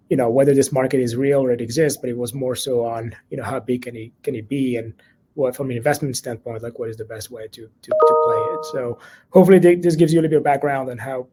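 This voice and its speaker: A man from Morocco with a slight Moroccan accent, an investor guest in a conversational interview, speaking haltingly with many restarts and fillers. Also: medium pitch, dark tone, a little hiss or hum, rough recording.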